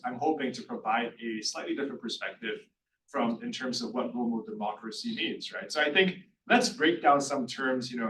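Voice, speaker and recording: A man's voice: medium pitch, a bit bright. A man with a Singaporean accent speaks on a university panel and keeps checking in with "right?". He sits some distance from the mic, so his voice carries the echo of a roomy hall, with a faint hiss of noise behind it. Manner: often adds "right?" as a check. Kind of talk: panel discussion talk.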